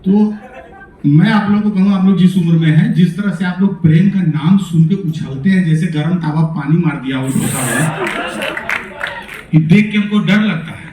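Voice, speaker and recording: A man's voice: high-pitched, moderate pace, mellow, a little hiss or hum. A man with a Bihari accent, a stage poet giving a teasing, folksy talk to students at a poetry event. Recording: echoey hall, voice from far off.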